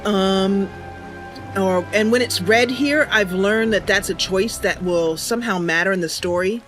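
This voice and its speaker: A woman's voice: mid-range voice, unhurried, fairly bright tone. A woman hosting a live game stream, chatting casually with viewers and thinking aloud. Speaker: live streamer and channel host. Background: game music.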